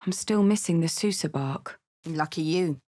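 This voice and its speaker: A woman's voice: low-pitched, normal speed, dark tone. A battle-hardened, big-hearted barbarian woman from a fantasy video game. She speaks bluntly and informally, in short punchy lines that suit a brash tiefling fighter. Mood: easygoing.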